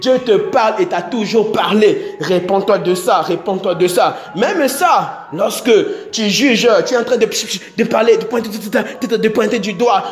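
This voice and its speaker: A man preaching a sermon, repeating his phrases and exhorting his listeners, swinging between loud and soft. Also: high-pitched, a little slow, few pauses. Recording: echoey room with faint music.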